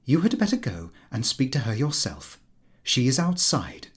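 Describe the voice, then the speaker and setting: A British man voicing a long-suffering middle-class wife in a soft, restrained manner, for a comic audiobook. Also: medium pitch, wildly swooping pitch, normal speed. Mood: testy.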